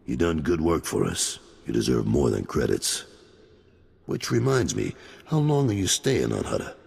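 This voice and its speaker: A gravelly, gruff old colonel. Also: male voice, sing-song, normal speed, a little mellow, full character voice. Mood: gruff praise. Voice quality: deep, gravelly and raspy. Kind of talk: video game cut-scene acting. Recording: long hall reverb.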